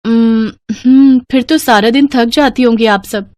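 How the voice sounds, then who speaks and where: A woman's voice: a little high, unhurried, darkish tone, dry recording, pitched above the performer's own voice, natural voice. A woman voicing a put-upon, plaintive young newlywed daughter-in-law in a cartoon story. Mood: dutiful, polite.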